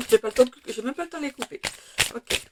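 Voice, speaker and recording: A French woman with a slightly hoarse voice, chatting through a tarot card reading with many hesitations and fillers. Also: high voice, fast, heavy background noise. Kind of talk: casual weekly tarot reading.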